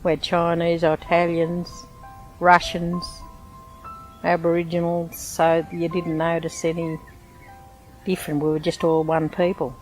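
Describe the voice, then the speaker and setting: A woman's voice: lowish voice, monotone, unhurried, faint music behind, poor audio. An older woman with an Australian accent, reminiscing chattily about growing up in the bush. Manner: chatty, warm storytelling with "you know". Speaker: interviewee, local resident. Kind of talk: casual reminiscing.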